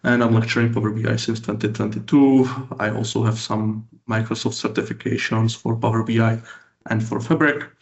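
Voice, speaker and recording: A man with a Czech accent gives a technical conference talk in halting, hesitant non-native English, often repeating words. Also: on the low side, steady tone, creaky. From Czech Republic. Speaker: conference speaker, BI consultant and lecturer. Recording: roomy, echoing, slightly dull sound.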